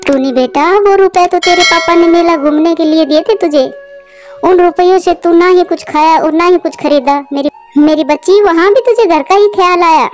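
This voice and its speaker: A woman's voice: very high-pitched, normal speed, faint music behind. A woman voicing a caring, worried mother bird from a poor family in a cartoon. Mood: tender.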